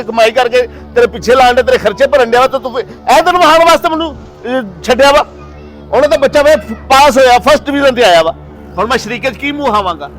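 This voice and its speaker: A man playing an emphatic, excitable middle-aged father with a Pakistani Punjabi accent in a moral drama. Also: extremely high pitch, rough recording. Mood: furious. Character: proud, hopeful father who turns furious. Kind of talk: acted moral-story drama.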